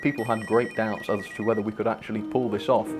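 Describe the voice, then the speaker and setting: A man's voice: fairly low pitch, level tone, fast, music in the background, natural voice. A young man playing an earnest, chatty lead designer, explaining informally in a spoof interview. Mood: earnest, hopeful. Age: young adult. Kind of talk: mock documentary interview. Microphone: lapel mic.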